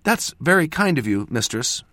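Narrator voicing a young apprentice girl, hushed and insistent, lively delivery. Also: mid-range voice, normal speed, no echo. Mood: urgent. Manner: whispering, pressing.

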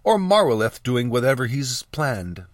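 Man acting as male mage teacher, evasive, persuasive, lecturing, expressive intonation, dry fantasy audiobook. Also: medium pitch, a little slow, no echo.

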